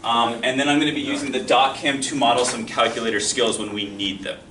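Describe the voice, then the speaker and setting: A man's voice: medium pitch, steady tone, quick pace. A young man teaching a math lesson to a class in a friendly, encouraging, self-deprecating way. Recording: echoey classroom from far off, noisy.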